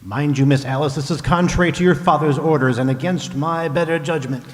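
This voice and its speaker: A man's voice: on the high side, fairly quick, close to an everyday voice. Man as a lightish, echoing sergeant guard. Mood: dutifully wary. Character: dutiful, disapproving vegetable sergeant guard. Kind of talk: satirical radio play acting. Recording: echoey hall, faint noise.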